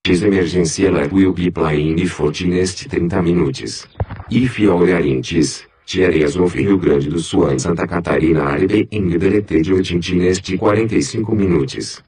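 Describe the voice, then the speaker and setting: A solemn male emergency announcer acted by a man reads a scripted alert. His voice is deep and husky. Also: normal speed, a little mellow.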